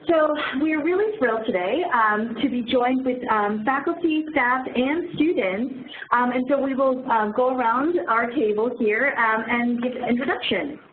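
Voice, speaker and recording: A woman moderating an information session, giving introductions in a halting way with many fillers. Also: a little high. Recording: phone-like lo-fi audio with faint noise.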